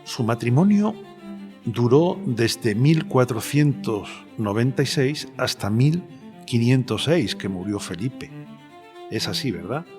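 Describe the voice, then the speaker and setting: Actor doing a polite guy interviewer, careful halting questions, average pitch, faint cello music behind. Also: animated, a little mellow. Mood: measured, respectful. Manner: measured, hesitant, deliberate phrasing. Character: polite, probing male journalist interviewing a historical queen. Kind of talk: dramatised historical interview, audio fiction. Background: cello and violin music.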